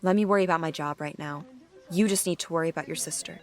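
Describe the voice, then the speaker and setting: An actress plays a steady female police captain in an audio drama. Her voice sits fairly low, and she speaks frankly with a dry, supportive manner. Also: quick pace. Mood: resolute, supportive. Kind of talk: audio drama acting, naturalistic dialogue.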